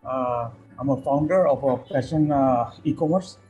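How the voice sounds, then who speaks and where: A man's voice: mid-range voice, normal speed, warm tone, soft background music, rough recording. A man from Bangladesh, a business founder attending a webinar, speaking hesitantly and casually over a video call.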